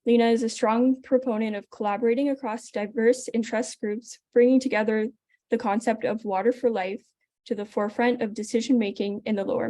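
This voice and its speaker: A woman hosting a webinar, reading a measured, scripted introduction of the guest. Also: a little high, warm tone, no echo. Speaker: webinar host.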